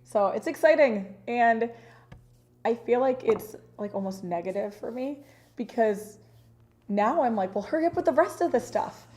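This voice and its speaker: A woman with a naturally deep voice, still hoarse from losing it, chatting and teasing in a casual talk. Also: animated, moderate pace, a little mellow. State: hoarse, recovering from lost voice. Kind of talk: casual chat.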